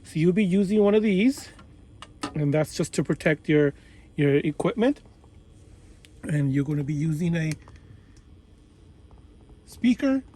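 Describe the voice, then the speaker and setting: Higher-voiced man giving a hands-on tutorial. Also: expressive, mellow, a little hiss or hum. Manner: instructional, halting step-by-step phrasing. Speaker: tutorial presenter, radio hobbyist. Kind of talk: informal hands-on demonstration.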